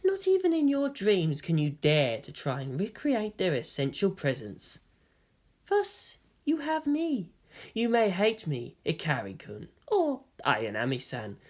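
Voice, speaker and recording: Woman voicing a soft cryptic young hybrid. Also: medium pitch. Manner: soft, measured, quietly mocking. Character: calm cryptic young male otherworldly hybrid.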